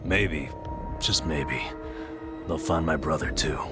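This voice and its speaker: A man's voice: rumbling low, slow pace, over loud music. An actor playing a bitter, world-weary man in an English video game dub, with a somber, resigned way of talking. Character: world-weary, bitter man searching for his lost brother.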